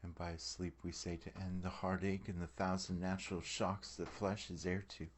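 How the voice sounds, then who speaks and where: Male actor as brooding tragic prince, deep voice, measured Shakespearean verse, world-weary, declamatory. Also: level tone, breathy edge. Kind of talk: dramatic soliloquy reading, Shakespearean verse.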